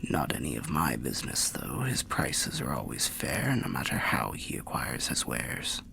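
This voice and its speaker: Casting: male performer as ancient undead soul for fantasy roleplay; low-pitched, very animated intonation, softly whispered, genial and unhurried. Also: slight background noise, exaggerated voice. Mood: helpful, musing. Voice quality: low gravelly voice, half-whispered. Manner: unhurried, musing, archaic fantasy phrasing. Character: old undead soul, genial storyteller by a bonfire. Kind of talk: whispery ASMR roleplay narration.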